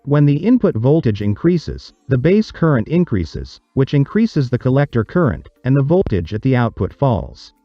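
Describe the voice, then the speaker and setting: A man reading a scripted explanatory narration for a tutorial, in a measured, didactic, step-by-step manner. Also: mid-range voice, big pitch swings, no echo, dull audio, soft background music.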